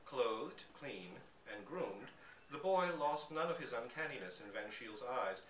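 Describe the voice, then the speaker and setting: A man giving an expressive live dramatic reading of a literary short story. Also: mid-range voice, measured pace. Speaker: story reader. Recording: echoey room, distant mic, background noise.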